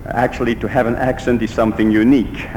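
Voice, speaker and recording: A middle-aged man with a Belgian Dutch accent, a native Dutch speaker, giving a measured talk to a congregation. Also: little pitch movement, darkish tone. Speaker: church speaker. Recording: roomy hall with faint rumble.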